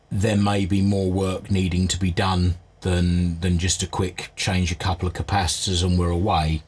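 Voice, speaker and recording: A man with a fairly low, husky voice talks through a hands-on inspection. He presents like a restorer at work, thinking aloud in a measured, understated way without a script. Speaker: vintage radio restorer presenting a repair video. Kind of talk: unscripted hands-on inspection commentary.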